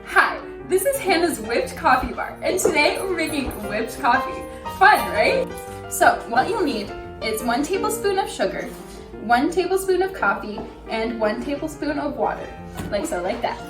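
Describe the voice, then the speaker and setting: A young woman with a high, lively voice gives a casual tutorial. She is echoey and some way from the mic, with music behind her. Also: normal speed, far from the mic. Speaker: tutorial presenter. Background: background music.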